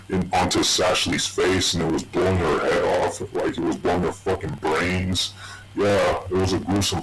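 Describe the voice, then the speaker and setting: A man rambling casually and swearing heavily through a low voice changer, recorded on a loud, distorted microphone. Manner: heavy swearing. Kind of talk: rambling casual personal monologue.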